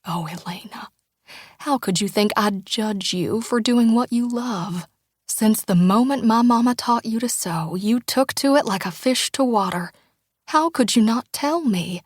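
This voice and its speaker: A woman's voice: fairly high pitch, unhurried, husky, no room sound, clean studio sound. Woman reading as a tearful small-town mother. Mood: pleading. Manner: pleading, heartfelt. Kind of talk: audiobook character dialogue.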